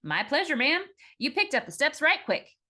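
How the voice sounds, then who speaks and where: A woman's voice: high voice, sing-song, moderate pace, pushed and bright, pitched above the performer's own voice, exaggerated voice. A woman voicing an eager, polite eighteen-year-old Navy sailor from the forties in an audiobook, with a folksy, courteous way of talking. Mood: eager, friendly.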